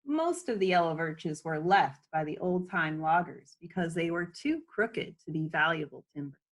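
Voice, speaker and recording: A woman voicing a friendly male forest hydrologist in a children's storybook, calm and informative. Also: fairly low pitch, moderate pace.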